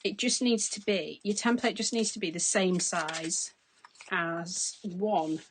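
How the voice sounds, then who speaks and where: A woman in her forties, hoarse from losing her voice, chatting through a craft demonstration at her desk. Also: fairly low pitch, takes it slowly, a little hiss or hum. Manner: chatty, explains as she works. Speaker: craft tutorial host. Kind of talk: casual step-by-step crafting demonstration. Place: craft desk indoors, overhead camera.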